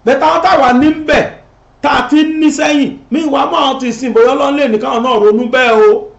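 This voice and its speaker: A man's voice: extremely high pitch, very fast, gravelly. A middle-aged Nigerian man hosting a political commentary show, talking animatedly and gesturing as he sits in a studio. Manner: animated, switching between Yoruba and English, gesturing. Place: indoor studio set. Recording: roomy, dull lo-fi sound.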